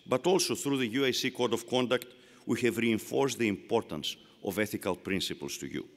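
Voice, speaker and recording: An older man with a Greek accent giving an emphatic, rhetorical commencement speech. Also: fairly low pitch. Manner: rhetorical, emphatic, repeats phrases for effect. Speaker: commencement speaker. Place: large arena. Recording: long arena reverb.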